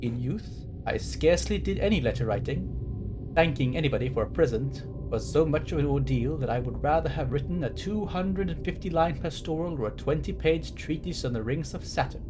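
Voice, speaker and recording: Guy streaming a game, mid pitch, musing n rambly, wry little asides, music clearly playing behind. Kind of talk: rambling game playthrough commentary, reading text aloud.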